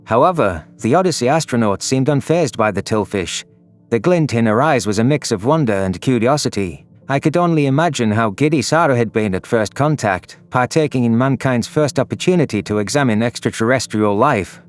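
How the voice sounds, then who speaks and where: A man's voice: mid-range voice, lively intonation, fairly quick. A man reading scripted, dramatic narration for a science fiction audio story. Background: background music.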